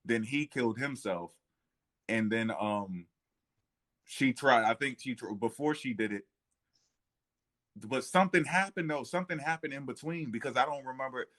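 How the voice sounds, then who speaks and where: A man with a medium-pitched voice speaks in a casual, unscripted conversation. His delivery is informal and halting, as he thinks aloud and corrects himself. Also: a bit bright. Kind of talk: casual history discussion.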